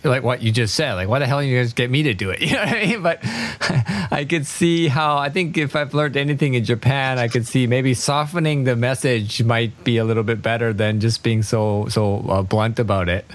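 A man's voice: mid-range voice, moderate pace. A man hosting a conversational interview, asking questions in a casual, curious way and laughing easily. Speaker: interviewer, podcast host.

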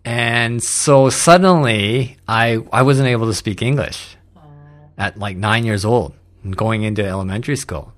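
A man from Canada, hosting a casual conversation and telling his own story in a relaxed, reflective way. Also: lowish voice, unhurried.

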